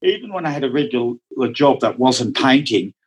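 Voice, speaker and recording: A man's voice: medium pitch, lively intonation, moderate pace. A man, a Dutch speaker, chatting casually as a guest painter in an interview, rambling and hesitant. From Australia. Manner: rambling, hesitant, often starts with "look".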